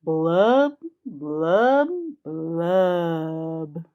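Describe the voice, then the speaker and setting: A woman's voice: low voice, drawn-out words, pitched below the performer's own voice. A woman voicing a glum, resigned male fish in a children's storybook, in sing-song rhyme on a slightly dull recording.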